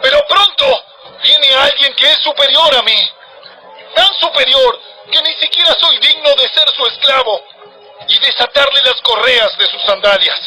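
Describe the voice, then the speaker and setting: Fierce prophet in a bible audio drama, very high strained pitch, super sharp and forceful, declaiming warnings. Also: male voice, unhurried, full character voice, poor audio. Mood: stern, urgent. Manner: declamatory, thundering, admonishing. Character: fierce male desert prophet, stern and urgent.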